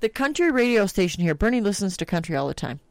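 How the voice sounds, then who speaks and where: A woman's voice: medium pitch, very animated, fast talker, dry recording. A middle-aged woman hosting a casual chat show, telling stories and swearing freely. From Texas. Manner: salty, storytelling, swears freely. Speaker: podcast host.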